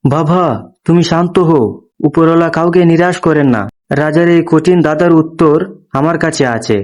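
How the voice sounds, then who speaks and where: A man voicing a wise, brave young woodcutter's daughter, calm and earnest, in a cartoon. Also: fairly high pitch, normal speed, close to an everyday voice. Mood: reassuring. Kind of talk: moral story cartoon dialogue.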